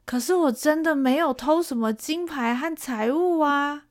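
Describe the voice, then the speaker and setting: Woman doing a young peasant boy, orphaned and stubborn, higher voice, drawn-out pleading lines. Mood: defiant. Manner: defiant, pleading, drawn-out delivery.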